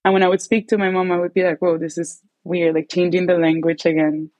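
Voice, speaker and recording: Woman, young adult, from Venezuela, native Spanish, low pitch, rambling filler-heavy talk, dry close sound. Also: little pitch movement, fast, darkish tone, no echo. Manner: rambling, many filler likes and restarts. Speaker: guest. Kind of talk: casual conversation.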